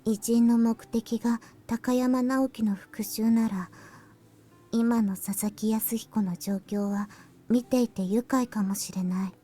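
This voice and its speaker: A young woman acting a quiet, techy, earnest girl in a visual novel, speaking in a terse, understated way. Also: a little high, steady tone, moderate pace, close to an everyday voice. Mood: pensive.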